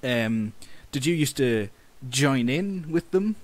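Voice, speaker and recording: A man's voice: medium pitch, animated, slow pace. A man hosting a casual interview podcast, hesitant and often repeating words as he asks questions. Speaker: podcast host.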